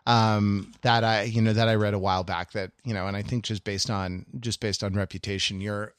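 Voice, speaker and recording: A man's voice: lowish voice, little pitch movement, a little fast, smooth, dry recording. A man co-hosting a casual podcast, explaining things in a musing, hesitant way. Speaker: podcast co-host.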